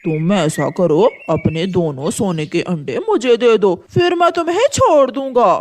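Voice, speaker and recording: A woman's voice: mid-range voice, lively intonation, unhurried, darkish tone, slight background noise, pitched below the performer's own voice, lightly acted. A woman voicing a greedy, bullying male crow farmer in a children's cartoon, with a scolding, possessive manner. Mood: greedy, eager.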